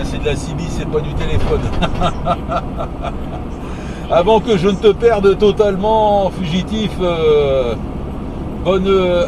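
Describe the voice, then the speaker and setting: A man with a fairly high voice, chatting as a CB radio operator. He rambles in colloquial phrases and repeats himself. Clear vehicle noise surrounds him. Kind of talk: casual CB radio chat.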